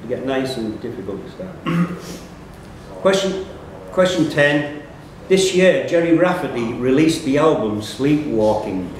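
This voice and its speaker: A man's voice: mid-range voice, expressive, measured pace. A man acting as quiz master, reading out questions and ruling on answers live in a pub. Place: pub quiz room, indoors. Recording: very echoey pub room, distant mic, faint noise.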